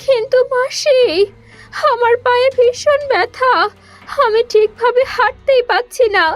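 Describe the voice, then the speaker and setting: Squeaky-high frightened little princess. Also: female voice, fairly even intonation, unhurried, faint music behind. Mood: pained. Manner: whimpering, pleading. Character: frightened helpless young princess girl. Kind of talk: children's cartoon voice acting.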